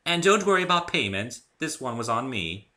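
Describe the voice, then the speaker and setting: A refined, good-natured lady unicorn from a cartoon story, acted out by a man. The voice is lifted and bright. She speaks with elegant, theatrical affection. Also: mid-range voice, very animated, normal speed, voice pushed up. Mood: warm. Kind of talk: dramatic story reading.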